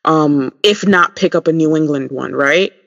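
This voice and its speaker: A man's voice: on the high side, normal speed, creaky, fairly bright tone. A man co-hosting a podcast in casual chat, talking listeners through his points with lots of ums. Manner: chatty, many ums, addresses listeners. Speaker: podcast co-host. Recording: dull lo-fi sound.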